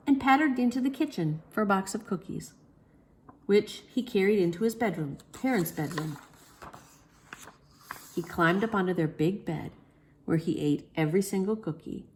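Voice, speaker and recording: Woman, storyteller, expressive children's book reading, lively varied pitch.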